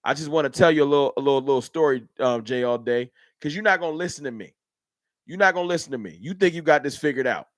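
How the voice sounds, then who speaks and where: A man's voice: a little high, quick pace, no echo. A man from Dallas, hosting a live sports fan stream and arguing heatedly with his chat. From Dallas, Texas. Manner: animated, argumentative, heated ranting. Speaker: sports fan livestream host.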